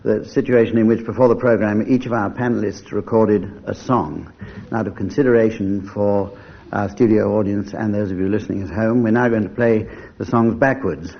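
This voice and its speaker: A man chairing a radio comedy panel game for a studio audience in a dry, deadpan manner. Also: lowish voice, moderate pace, gravelly. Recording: dull, noisy vintage radio broadcast.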